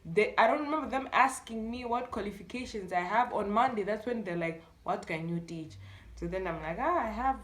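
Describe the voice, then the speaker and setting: An echoey room surrounds a young woman with a Malawian accent and a medium-pitched voice. She tells her story casually and with animation, slipping into little bits of acted-out dialogue and interjections as she goes. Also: expressive, fairly quick. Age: young adult. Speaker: storytime YouTuber.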